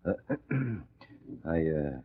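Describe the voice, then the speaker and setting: A nosy, wisecracking newspaper reporter, breezy and eager. His voice swings lively up and down. It sounds thin and tinny, like an old radio transmission. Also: low-pitched, extremely slow, breathy edge, exaggerated voice. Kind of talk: 1940s radio acting.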